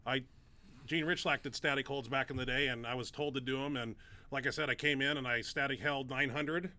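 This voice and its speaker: A man's voice: medium pitch, fairly even intonation, a little fast, bright, forceful tone. A middle-aged man hosting a casual Q&A chat, rambling with many fillers and restarts, his nose stuffed up. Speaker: show host and powerlifter.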